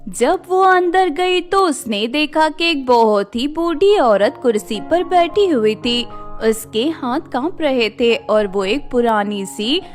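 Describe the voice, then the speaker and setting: A woman's voice: sits high, expressive, slow, soft background music. A woman narrator reading a scripted fairy tale for children.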